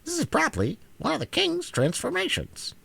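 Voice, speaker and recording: A man voicing a talkative female hen with a sharp, brisk voice, pitched high above his own. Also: huge pitch swings, gravelly, heavily acted.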